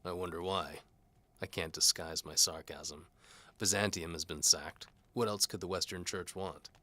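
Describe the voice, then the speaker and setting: Deep, sardonic medieval mercenary played by a man. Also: steady tone, normal speed, bright, forceful tone, dry recording. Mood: sarcastic. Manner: sardonic, wry. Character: cynical, sarcastic down-on-his-luck medieval mercenary bowman, hard-boiled narrator-hero. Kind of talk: first-person noir audio drama narration.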